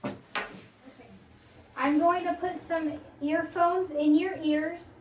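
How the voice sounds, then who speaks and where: A woman audiologist calmly walking a patient through a hearing test step by step. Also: extremely high pitch, takes it slowly, echoey room, a little hiss or hum. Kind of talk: giving patient instructions.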